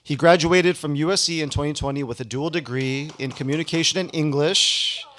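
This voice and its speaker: A man's voice: a little high, brisk, a bit bright. A man hosting a live ceremony, introducing guests to an audience, partly reading and partly speaking off the cuff. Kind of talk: public introduction, reading a bio then ad-libbing. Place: live event before an audience.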